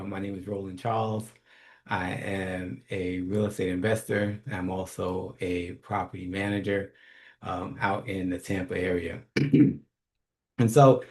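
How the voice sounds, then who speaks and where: A man from New York, born in Haiti, talks in a low voice with a gravelly fry. He hosts casually and off the cuff, blunt and a little rough around the edges, tagging his points with "right?". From New York, originally Haiti. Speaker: host, property manager and investor. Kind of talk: casual unscripted YouTube monologue.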